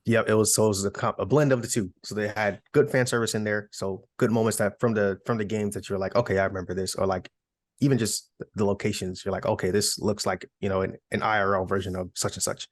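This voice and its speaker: Fast-talking man in casual podcast chat. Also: fairly low pitch, fairly even intonation, dry recording. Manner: informal, explains with hypothetical asides. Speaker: podcast co-host.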